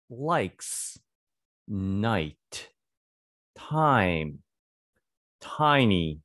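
A man in his thirties reads aloud as a teacher for young English learners. His voice sits a little low and moves very slowly, deliberately repeating for practice. The sound is dry and close, from a desk microphone. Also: fairly bright tone, dry recording. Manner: deliberate, repeats words and sentences. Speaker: English reading teacher for children. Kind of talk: slow read-aloud phonics lesson. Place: small indoor home studio. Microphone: close desk microphone on boom arm.

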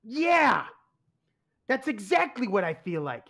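Man acting a harried mob boss, wildly swinging pitch. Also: very animated, slow, vocal fry, sharp tone, full character voice. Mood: anguished. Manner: exasperated, blustering. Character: harried, anxious mob boss father who secretly runs pizzeria. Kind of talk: comedic radio play acting.

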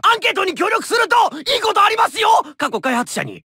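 A man's voice: very high-pitched, big pitch swings, a little fast, dry recording, studio quality, heavily acted. A man playing a zany, polite but eccentric mad doctor in an anime fighting game. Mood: manic. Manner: zany, shouting.

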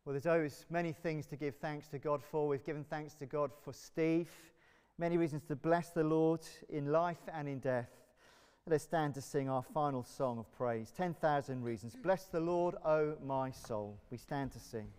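Middle-aged man, English accent, minister at a service, smooth slightly high voice, measured phrasing, long church reverb. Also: darkish tone. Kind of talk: liturgical prayer and announcements to a congregation. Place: large church, indoors.

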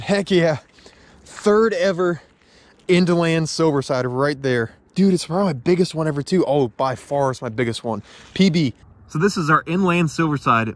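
A young man vlogging outdoors in a tidal marsh at night, talking excitedly and casually about the fish he catches. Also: fairly high pitch. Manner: enthusiastic, chatty, slangy. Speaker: micro-fishing vlogger. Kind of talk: casual outdoor vlog narration.

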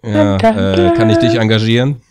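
A man making deadpan jokes during a casual gaming chat. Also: fairly low pitch, normal speed, background noise.

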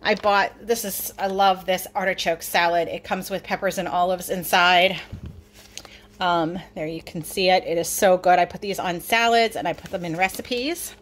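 A woman's voice: lowish voice, steady tone, normal speed, a bit bright, slight background noise. Chatty middle-aged woman showing groceries. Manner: chatty, upbeat, with many ums. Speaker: vlogger showing a grocery haul. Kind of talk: casual chat over a grocery haul. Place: home kitchen, off camera.

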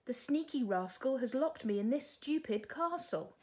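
A woman's voice: sits high, lively intonation, normal speed, darkish tone, voice pushed up. A woman voicing a bold, impatient young storybook princess in a cheeky, exclamatory way. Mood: exasperated. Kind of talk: storybook character reading.

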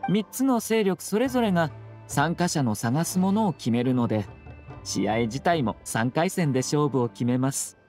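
A man's voice: on the high side, expressive, darkish tone, soft background music, natural voice. Man playing a lilting, courteous young nobleman. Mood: composed explaining. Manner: polite, formal, measured, sly. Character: courteous, composed young nobleman, subtly teasing. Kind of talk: video game anime voice acting.

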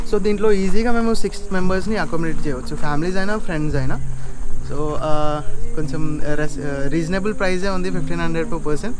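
A young man showing guests around a campsite outdoors, explaining in a plain way with many "so, uh" pauses. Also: on the high side, rough recording. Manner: explains plainly, mixes English phrases, frequent "so, uh". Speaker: campsite staff member. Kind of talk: guided tour talk.

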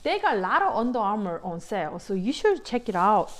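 A woman's voice: medium pitch, fairly quick, a little hiss or hum. Middle-aged woman, english isnt her first language, gushing about deals, says you know a lot. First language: not English. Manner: excited, simple non-native English, many "you know"s. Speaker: vlogger showing a shopping haul. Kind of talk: casual chat to viewers.

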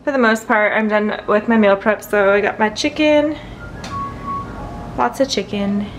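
A woman's voice: mid-range voice, measured pace, music in the background. A young adult woman chatting casually as a fitness vlogger into a handheld camera at home. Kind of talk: casual vlog chat. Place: home kitchen and room indoors. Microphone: handheld camera at arm's length. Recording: clear household background noise.

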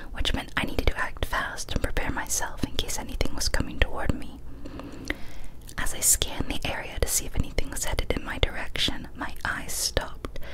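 Whispering young woman, soft ASMR voice. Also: poor audio. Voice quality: soft, breathy, unvoiced whisper. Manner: gentle, unhurried, deliberate. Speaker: ASMR YouTuber and host. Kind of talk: whispered ASMR, intimate talk and story reading. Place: small cozy indoor room. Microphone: large studio microphone close to the mouth.

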